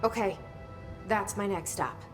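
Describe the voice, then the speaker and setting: A woman's voice: medium pitch, measured pace, music in the background, close to an everyday voice. An actress playing a guarded, determined young woman newly in charge in a video game, speaking tersely and directly. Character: guarded, determined young female newcomer made agency director. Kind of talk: video game cutscene dialogue.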